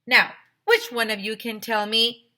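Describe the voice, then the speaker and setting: A woman voicing a brisk, easily exasperated male math teacher in a storybook. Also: on the high side, little pitch movement, pushed and bright, pitched above the performer's own voice.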